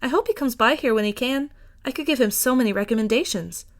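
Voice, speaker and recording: A woman's voice: on the high side, fast, voice pushed up, lightly acted. A woman voicing a friendly, eager young female bookshop owner in an audiobook, gushing with enthusiasm. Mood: eager, effusive. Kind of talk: acted fiction reading.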